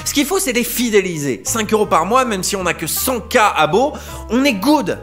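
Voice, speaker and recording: Man acting as a brash jargon-spouting start-up boss, clipped delivery, swooping lively pitch, light music behind. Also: normal speed. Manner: brash, clipped, full of corporate anglicisms. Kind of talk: amateur audio drama acting.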